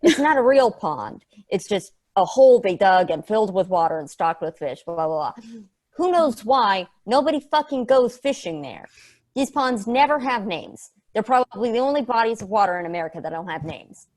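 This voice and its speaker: A woman's voice: mid-range voice, big pitch swings, normal speed, a little mellow. Woman acting a sardonic, rambling, sweary female probe. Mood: wry, ranting. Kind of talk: conversational acting in a script table read.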